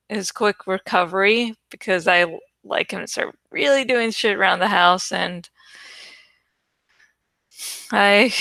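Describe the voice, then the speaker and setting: A woman's voice: medium pitch, creaky, bright, forceful tone, smooth, no echo. A woman host talking casually to her viewers, rambling in an irreverent, sweary, giggly way. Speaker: host of a reading channel.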